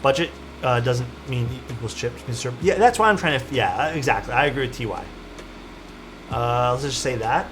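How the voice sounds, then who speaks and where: A man's voice: medium pitch, expressive, moderate pace, noisy background. A young man with a Toronto Canadian accent, chatting casually with his livestream audience while soldering a keyboard. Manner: easygoing, chatty, answers chat while soldering.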